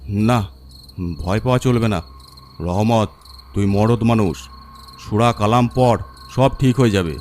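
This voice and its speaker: A man's voice: on the low side, unhurried, pauses often, darkish tone, a little hiss or hum, close to an everyday voice. A man voicing a weary, needy graveyard guard of about fifty in a horror story. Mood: self-steadying.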